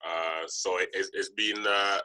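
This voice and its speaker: A man with a low, bright-edged voice hosts a casual podcast interview. He speaks haltingly, circling back over his words as he feels his way to the point. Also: steady tone. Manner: hesitant, repetitive, halting delivery. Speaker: podcast host interviewing a guest.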